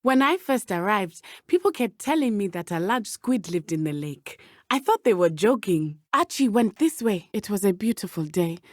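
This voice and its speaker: A woman with a southern African accent playing an earnest, brave teenage witch in a video game, speaking in measured, formal, precise diction. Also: medium pitch, big pitch swings, no echo, studio quality. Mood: thoughtful, then urgent, lively. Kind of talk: video game character dialogue.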